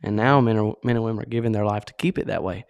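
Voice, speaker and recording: A man's voice: fairly low pitch, very fast, gravelly, clean tone. Young male co-host, North Carolina country accent, easygoing and agreeable. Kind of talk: casual podcast chat.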